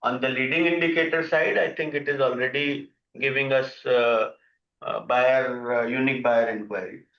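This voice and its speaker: A man speaking as a company executive on an earnings call, giving halting but emphatic unscripted answers. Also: mid-range voice, fairly even intonation, gravelly. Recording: echoey, muffled conference call audio.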